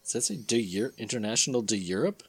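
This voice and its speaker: A man's voice: fairly low pitch, lively intonation, fast, music in the background. A man hosting and narrating a comedic dramatic reading, mixed with casual banter. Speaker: podcast host and narrator.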